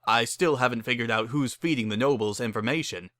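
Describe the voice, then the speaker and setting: A wary male pony acted by a man in a dramatic story reading. His voice is bright and mid-pitched, with a skeptical, questioning edge. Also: brisk, dry recording, studio quality, close to an everyday voice.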